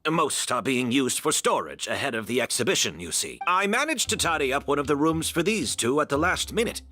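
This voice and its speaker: An actor playing a gracious, apologetic host who explains things politely and considerately. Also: male voice, mid-range voice, very animated, fairly quick, bright, forceful tone. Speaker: hotel owner and host.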